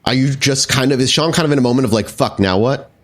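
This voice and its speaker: A man's voice: mid-range voice, quick pace, a bit bright. A man narrating a tabletop horror game as game master, improvising wordy scene descriptions with casual asides.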